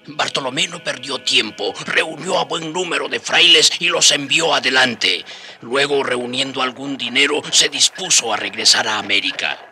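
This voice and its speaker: A man's voice: mid-range voice, moderate pace, sharp tone, soft background music. A man playing a companion friar who narrates a radio drama as scripted storytelling. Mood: solemn storytelling. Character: companion friar recounting the old bishop's life.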